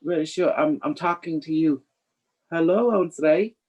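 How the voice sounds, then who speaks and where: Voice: medium pitch, measured pace, a little mellow. A psychic reader hosting a casual livestream, boastful and playful, rambling in non-standard English grammar. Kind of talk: casual improvised psychic reading chat.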